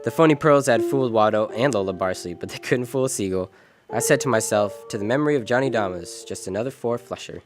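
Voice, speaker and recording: An actor playing a world-weary, decent American private detective in an old-time radio drama, wry and clipped. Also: male voice, lowish voice, fast talker, music in the background, natural voice. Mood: wistful, wry. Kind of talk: 1940s detective radio drama, first-person narration and dialogue.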